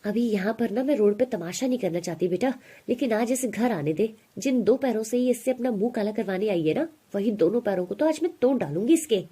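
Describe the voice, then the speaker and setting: Woman acting a harsh scolding stepmother, slightly husky. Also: mid-range voice, lively intonation, a little fast, dark tone. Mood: furious, threatening. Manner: threatening, berating. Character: harsh, scolding, spiteful stepmother, middle-aged village woman.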